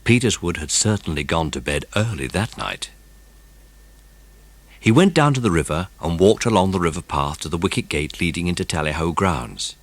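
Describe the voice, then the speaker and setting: A man narrating a children's mystery audiobook in a suspenseful, expressive way. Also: sits low, moderate pace, no echo.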